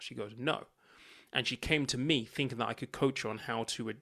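A man's voice: mid-range voice, a bit bright. A man based in the UK, a financial coach and podcast guest, telling stories earnestly and acting out his own conversations. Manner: earnest, explanatory, acts out dialogue. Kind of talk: conversational storytelling.

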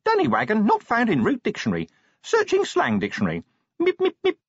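A man's voice: very high voice, wildly swooping pitch, a little fast, vocal fry, husky, no room sound, muffled recording, voice pushed up, heavily acted. A man voicing a small, chirpy imp from a gadget in a storybook, eager to please, pleading and officious. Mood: officious, mechanical. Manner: pleading, officious, recites like a machine.

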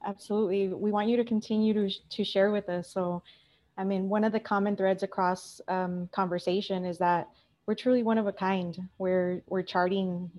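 A woman's voice: medium pitch, steady tone, moderate pace, a little mellow. A woman moderating a panel discussion in an informal, conversational way.

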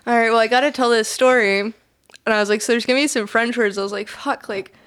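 A woman's voice: a little high, fairly even intonation, fast, fairly bright tone, clear, resonant tone. Chatty young woman who swears a lot. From United States. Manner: chatty, laughing, swears freely, lots of "like". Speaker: guest. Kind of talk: casual podcast chat.

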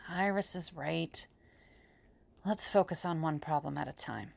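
A woman's voice: deep voice, measured pace, vocal fry, darkish tone, dry recording, full character voice. A woman playing a practical, serious, organized character in an audio drama.

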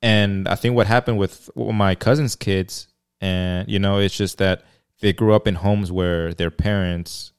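Deep, smooth-voiced man hosting a podcast. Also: a little slow. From Mexico. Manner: relaxed, hesitant, many fillers and restarts. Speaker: podcast host. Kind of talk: casual chat.

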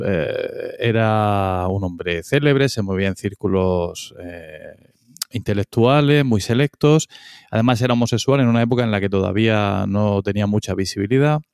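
Host, male, from Spain, lowish smooth voice, halting delivery, dry close mic. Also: darkish tone, no room sound. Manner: hesitant, many fillers and restarts. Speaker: podcast host. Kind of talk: casual discussion.

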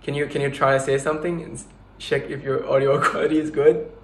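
A man's voice: mid-range voice, flat delivery, fast talker, reverberant. A man in his twenties hosting a casual podcast chat, giggly and rambling, with lots of fillers. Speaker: podcast host.

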